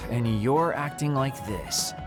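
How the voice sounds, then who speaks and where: A man voicing an excitable, theatrical young male soldier in an anime game, with an expressive, dramatic delivery. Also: medium pitch, slow pace, music in the background, natural voice. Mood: fretful, eager. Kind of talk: anime video game dub acting.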